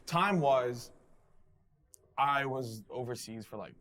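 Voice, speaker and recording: A man's voice: medium pitch, slow pace, fairly bright tone. A man hosting a casual interview, rambling a bit with many "like" fillers. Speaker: interview host. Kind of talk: casual conversation.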